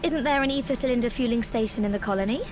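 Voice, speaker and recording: A woman's voice: fairly high pitch, racing, natural voice. An actress voicing a spirited, inquisitive young woman in a video game cutscene, speaking in a direct way. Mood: curious. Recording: music behind the voice. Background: music.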